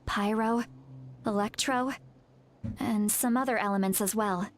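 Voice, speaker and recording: A woman's voice: mid-range voice, fairly even intonation, unhurried, a little hiss or hum, lightly acted. A woman voicing a proud, irritable shaman grandmother with a youthful voice in an anime video game, huffy and muttering to herself. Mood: focused.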